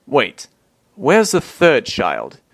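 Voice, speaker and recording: A man's voice: medium pitch, wildly swooping pitch, very slow, creaky, a bit bright, no room sound, studio quality, heavily acted. A man voicing a callous, imperious father in a comic dub, brusque and blustering. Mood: brusque, cold. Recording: voice over background music.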